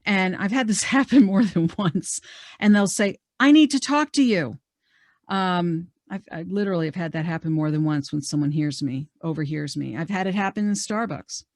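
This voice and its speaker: A woman's voice: on the low side, moderate pace, dry recording, clean studio sound. A middle-aged woman career coach presenting a networking webinar from her home office over a video call, talking conversationally with plenty of fillers. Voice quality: loud voice. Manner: conversational, lots of fillers and restarts.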